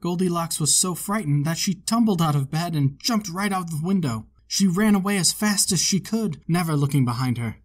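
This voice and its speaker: A man reading a children's storybook aloud as narrator, with measured, expressive storytelling phrasing. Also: a little high. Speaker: storybook reader.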